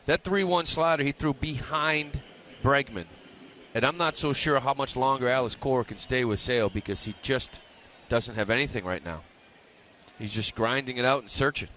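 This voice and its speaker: A male colour analyst with a medium-pitched voice talks informally through live baseball action. Faint outdoor stadium noise is behind him. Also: moderate pace, bright, forceful tone.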